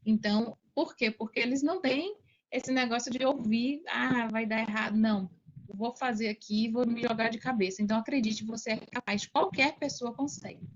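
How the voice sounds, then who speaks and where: Female guest, Brazilian, fairly high voice, emphatic motivational talk with repeated phrases, dull online-call sound. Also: normal speed. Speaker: interview guest, digital entrepreneur. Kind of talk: casual motivational advice. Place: remote video call.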